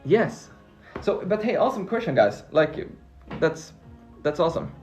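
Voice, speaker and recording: A man's voice: a little high, sing-song, moderate pace, darkish tone. A man from Finland whose first language is Swedish, hosting a casual live Q&A about freelancing and answering viewer questions in a friendly, upbeat way. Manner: upbeat, repeats words and restarts while reading viewer comments. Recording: faint background music.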